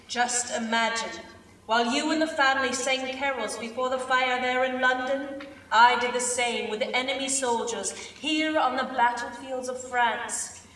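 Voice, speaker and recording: A woman's voice: high-pitched, a little slow, a bit bright. A woman playing a hopeful British soldier writing to a sister, reading vividly in reader's theater style. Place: large indoor theater stage. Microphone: far camera. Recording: echoey theater stage, distant, faint noise.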